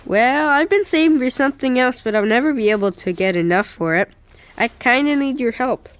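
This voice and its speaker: Woman voicing an eager, high-voiced young boy. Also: expressive, fairly quick, no room sound. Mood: hopeful. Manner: casual, boyish, understated. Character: eager eleven-year-old boy, cool and hopeful. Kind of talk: audio drama acting.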